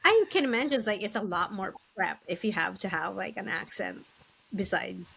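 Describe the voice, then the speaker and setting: A woman's voice: medium pitch, expressive, moderate pace, vocal fry, fairly bright tone, breathy edge. A woman hosting a casual podcast interview, putting her questions tentatively and piece by piece.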